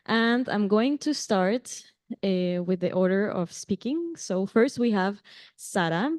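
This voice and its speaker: A woman's voice: medium pitch, animated, takes it slowly, dry recording, clean studio sound. A woman from Mexico with a Mexican Spanish accent, a native Spanish speaker, moderating a conference panel in English with friendly laughs and many ums and restarts. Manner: warm, light laughs, frequent ums and restarts. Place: conference venue.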